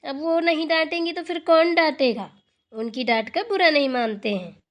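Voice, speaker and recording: Cartoon village mother-in-law, woman performer: high voice, coaxing, animated, motherly, good-humoured. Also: moderate pace, close to an everyday voice. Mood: coaxing, reassuring. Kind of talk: Hindi village family cartoon acting.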